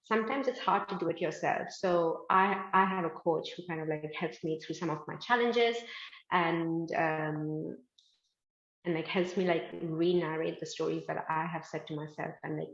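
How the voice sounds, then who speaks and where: A woman's voice: deep voice, fairly even intonation, moderate pace, fairly bright tone, muffled recording. Chatty woman from India, roomy sound. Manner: chatty, many "like" fillers, upbeat. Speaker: guest, fashion manufacturing founder. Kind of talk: casual interview chat.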